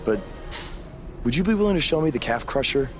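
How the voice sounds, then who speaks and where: An actor playing an earnest, determined rookie wrestler in conversational video game dialogue. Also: male voice, mid-range voice, lively intonation, brisk, slight background noise, natural voice. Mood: earnest, pleading.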